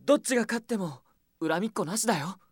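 An actor voicing a gentle, earnest young prince in a Japanese audio drama, anxious for friends. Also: mid-range voice, animated, quick pace, husky, no echo, studio quality.

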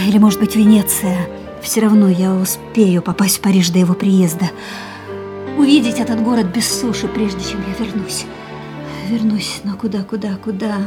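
An actress playing a fatalistic, defiant young woman in a radio drama, theatrical and wistful, with drawn-out words. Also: mid-range voice, lightly acted. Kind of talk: radio drama acting, inner monologue and dialogue.

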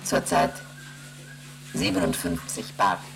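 A man voicing an emotionless onboard computer in a radio play, reading out readings and rules in a flat, mechanical way. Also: low-pitched, takes it slowly, vocal fry, slight background noise, full character voice. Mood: flat reporting. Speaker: ship's computer.

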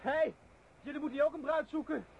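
A man's voice: very high voice, quick pace, gravelly, lo-fi sound. An actor playing an eager, lovesick young horseman in a radio drama, earnest and spirited in his speech. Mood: eager, teasing. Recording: noisy old radio play recording.

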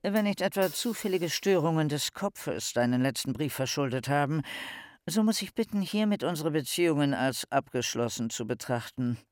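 A man's voice: fairly high pitch, expressive, normal speed, dry recording, clean studio sound, natural voice. An actor playing a formal, touchy young male scholar in a German radio play, with precise literary diction. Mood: stern, cold. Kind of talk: German radio play, letter and diary reading.

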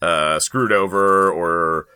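A man's voice: low-pitched, fairly even intonation, slow, pushed and bright, smooth. A man co-hosting a podcast in casual panel chat, rambling and often interrupting himself with qualifications. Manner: rambling, hedging, self-interrupting. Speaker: comics news podcast co-host.

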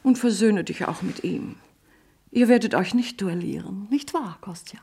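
A woman playing a proud, volatile actress and mother in a stage drama, cutting in anger and soothing when gentle. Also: medium pitch, moderate pace, creaky, husky.

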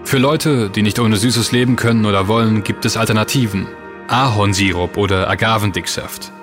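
A male narrator gives scripted advice directly to the audience. His voice is on the low side, and music is clearly heard behind it. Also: moderate pace. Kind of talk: scripted advisory narration addressing listeners.

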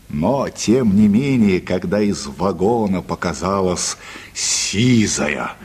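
A man with a husky voice narrating a radio play as an expressive, scripted storyteller. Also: low-pitched. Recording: reverberant studio hall sound.

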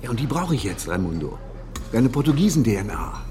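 An actor playing a gruff, middle-aged police inspector in a radio crime drama, wry, brusque and colloquial. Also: male voice, mid-range voice, very animated, brisk, warm tone, soft background music, lightly acted. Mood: wry, bossy. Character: gruff, sardonic male police chief inspector. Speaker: police detective.

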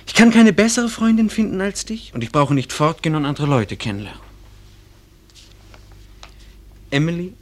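A man playing an earnest, bashful small-town schoolboy in love, speaking haltingly in a German radio play. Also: a little high, expressive, natural voice. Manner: halting, searching for words.

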